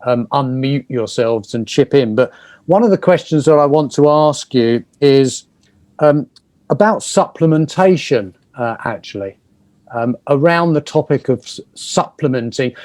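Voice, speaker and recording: A man hosting a video call chat, speaking in a measured way with some fillers. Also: medium pitch, expressive, a little mellow, no echo. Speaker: host.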